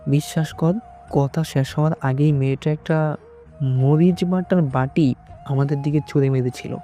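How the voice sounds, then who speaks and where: A man's voice: on the high side, fairly even intonation, moderate pace, dark tone, close to an everyday voice. A man playing a young, teasing and dramatic friend of a groom in an audio story. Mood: shaken, complaining. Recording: music behind the voice.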